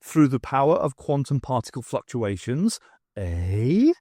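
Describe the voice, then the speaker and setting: A man's voice: mid-range voice, very animated, a little slow, mellow, no room sound. A man voicing a dry, impatient male cat companion who mutters, in an audiobook. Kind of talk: audiobook character voice.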